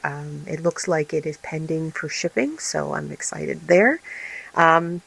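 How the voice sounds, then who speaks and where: A woman hosting a casual vlog, her voice raspy and tired, rambling with many fillers and restarts. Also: low-pitched, a little slow, a bit bright, low-quality recording. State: tired, recovering from illness. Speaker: vlog host and small craft business owner. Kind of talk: casual chatty vlog update.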